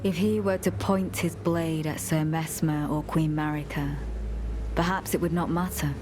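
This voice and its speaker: A woman playing a low-voiced, coldly resolute female knight. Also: steady tone, background noise, natural voice. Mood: grave resolve. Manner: formal, measured, archaic phrasing. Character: resolute zealous female knight, courteous, coldly suspicious, quietly menacing. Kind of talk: dramatic fantasy game dialogue.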